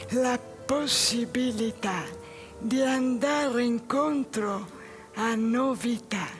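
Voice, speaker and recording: Slow, high-voiced dejected jobless man. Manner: halting, repeats phrases. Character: dejected, bewildered man who lost his job. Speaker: stage actor. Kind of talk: theatrical monologue. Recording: music behind the voice.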